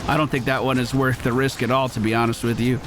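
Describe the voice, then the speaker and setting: A man's voice: mid-range voice, level tone, quick pace. A male gaming streamer giving casual live commentary, chatting and thinking aloud as he plays. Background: game music.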